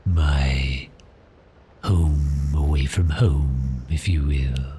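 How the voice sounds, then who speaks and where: A man's voice: rumbling low, steady tone, dark tone, a little hiss or hum, exaggerated voice. A man playing a suave, predatory vampire in an ASMR roleplay, teasing and seductive with cheerful menace, his phrases trailing into breathiness. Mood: smooth menace. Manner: slow, deliberate, seductive, taunting. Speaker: audio roleplay performer.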